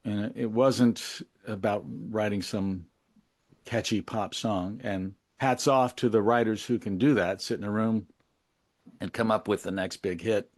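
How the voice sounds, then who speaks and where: Low-voiced man, musing and close-sounding. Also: a little mellow, no room sound. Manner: musing, halting, colloquial. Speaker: interview guest, musician and memoir author. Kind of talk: reflective conversational interview.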